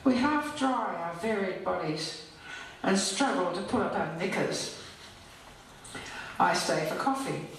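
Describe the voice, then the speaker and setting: Older female poet, unhurried monologue reading; very echoey playback with faint hiss behind. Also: mid-range voice, animated, distant mic. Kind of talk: reading her own poem as a monologue. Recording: played over echoey hall speakers, faint noise. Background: faint hiss of hospital oxygen.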